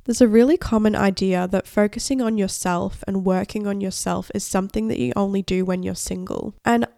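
A woman's voice: mid-range voice, fairly even intonation, brisk, creaky, dark tone, no room sound, studio quality. A young woman in her twenties with a cold, sounding nasal as she hosts her own podcast in chatty, upbeat solo talk. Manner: chatty, upbeat, talks with many likes. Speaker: podcast host. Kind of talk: casual solo podcast chat.

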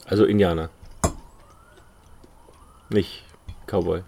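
A man's voice: low-pitched, fairly even intonation, slow pace, vocal fry, warm tone, a little hiss or hum. A man co-hosting a podcast, chatting casually with dry, teasing replies. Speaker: podcast co-host. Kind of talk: casual chat.

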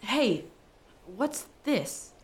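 A woman playing an eager, stubborn, excitable young boy in a radio play. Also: fairly high pitch, drawn-out words, exaggerated voice. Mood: eager, curious.

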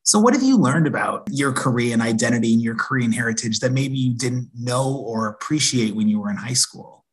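A man asking conversational interview questions in a measured way. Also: medium pitch, animated, breathy edge, low-quality recording.

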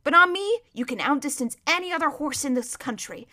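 A woman's voice: high-pitched, lively intonation, brisk, sharp tone, voice pushed up. A woman reading a storybook as a proud, bossy but kindly male war horse, with an emphatic, lecturing manner. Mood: persuasive. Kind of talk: children's storybook character reading.

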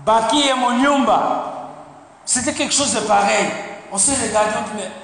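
A man's voice: very high voice, moderate pace, creaky, fairly bright tone. Guy preaching a sermon, stumbles and repeats words, says amen a lot, big echoey church hall. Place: church sanctuary. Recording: echoey hall with faint noise.

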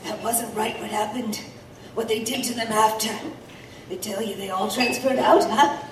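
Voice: mid-range voice, fairly even intonation, normal speed, far from the mic, close to an everyday voice. An actor playing an anxious, furtive male informant who speaks in clipped, secretive phrases. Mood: furtive, urgent. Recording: echoey, off-mic, ambient noise behind.

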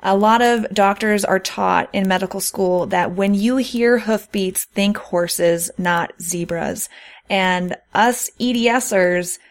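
A woman's voice: mid-range voice, slow. A woman speaking as a podcast host in a conversational interview style, asking and explaining.

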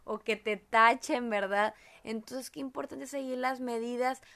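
Woman, Monterrey Mexican accent, podcast host, higher voice with vocal fry, talkative and rambling. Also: steady tone, unhurried, a bit bright. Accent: Monterrey Mexican Spanish. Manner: chatty, rambling, fond of diminutives. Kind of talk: casual podcast chat.

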